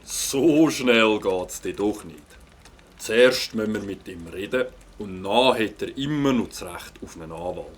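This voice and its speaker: An actor playing a firm, methodical police captain in a children's audio drama, speaking formally with a Swiss German accent. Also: male voice, fairly low pitch, expressive, a little slow, slightly rough. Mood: businesslike. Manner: formal, authoritative.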